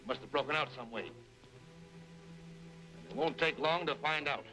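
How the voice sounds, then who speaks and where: An actor playing a hot-tempered, insistent captain of Western lawmen in an old-time radio drama. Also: male voice, on the high side, normal speed, rough recording. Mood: stubborn, accusing. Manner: forceful, indignant, insistent. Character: hot-tempered, vengeful Western lawman captain hunting outlaws.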